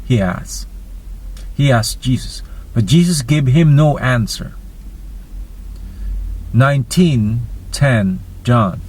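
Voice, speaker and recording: Guy reading the bible dramatically, slowish phrase by phrase, lots of up and down in his voice. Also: medium pitch, animated, darkish tone, husky, low-quality recording. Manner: deliberate, phrase-by-phrase delivery. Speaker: scripture narrator.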